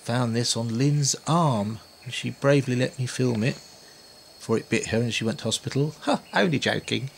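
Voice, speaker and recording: A man's voice: medium pitch, normal speed, vocal fry. A man filming a casual travel video diary, chatting and joking to the camera with gushing exclamations, recorded on a camcorder mic outdoors and in a cave. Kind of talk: casual handheld commentary. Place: outdoors in rainforest and inside a cave. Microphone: built-in camcorder mic. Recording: hissy noisy camcorder audio.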